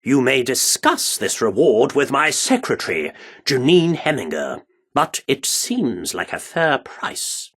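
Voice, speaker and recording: An actor playing a pompous, excitable British theatre director in a video game, speaking in grandiose, florid Shakespearean style. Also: male voice, mid-range voice, unhurried, breathy edge, heavily acted. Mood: earnest, reverent.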